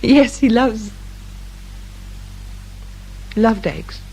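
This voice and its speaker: A woman's voice: a little high, lively intonation, extremely slow, mellow, husky, heavily acted, poor audio. An actress with a British accent playing an anxious, guarded grieving mother in a radio crime drama, speaking politely and hesitantly. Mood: guarded, defensive.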